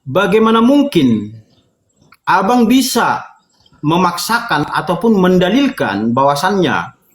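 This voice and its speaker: An echoey recording of a man. His pitch moves in wide, animated swings as he debates informally, laying out explanations and illustrations. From North Sumatra, Indonesia. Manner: explanatory, argues through illustrations. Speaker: debate participant.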